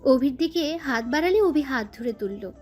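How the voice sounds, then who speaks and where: A woman's voice: sits high, lively intonation, normal speed, darkish tone, faint music behind. A woman reading scripted narration as the storyteller of a romantic audio story.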